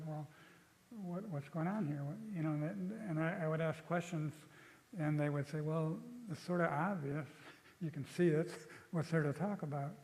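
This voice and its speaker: An older man giving a lecture and taking questions, speaking in a thoughtful, halting way with frequent restarts. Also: fairly high pitch, darkish tone, long reverb tail, poor audio.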